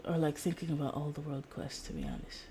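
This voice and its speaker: A woman's voice: deep voice, sing-song, quick pace, dark tone, a little hiss or hum. A woman streamer chatting casually while gaming, in a rambling, giggly way.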